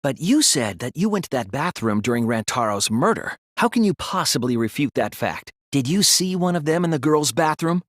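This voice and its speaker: A man voicing an earnest, analytical teenage boy detective in an English video game dub, speaking in a deliberate, resolute way. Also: a little high, big pitch swings, no room sound, studio quality. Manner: deliberate, earnest, explanatory delivery.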